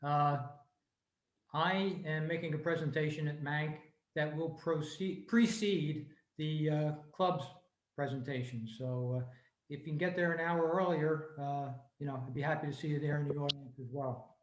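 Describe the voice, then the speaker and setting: Higher-voiced man in a roomy, dull recording. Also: fairly even intonation, moderate pace. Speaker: club member. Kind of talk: casual meeting announcement over video call. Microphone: video call. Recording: roomy, dull video-call audio.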